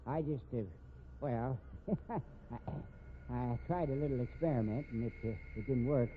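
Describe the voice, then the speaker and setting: An actor playing a wily, genial old amateur sleuth in a 1950s radio drama, with a folksy ungrammatical drawl and a habit of repeating himself. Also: male voice, mid-range voice, moderate pace, natural voice. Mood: evasive, sheepish. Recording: tinny band-limited old radio recording, hissy.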